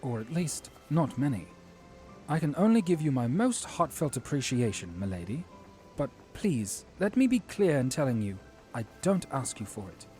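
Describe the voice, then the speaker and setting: A man's voice: mid-range voice, very animated, moderate pace, a little mellow, soft background music, lightly acted. A man playing an earnest, courteous fantasy hero and troubled leader, speaking formally and solemnly in an audio drama. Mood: grateful, earnest.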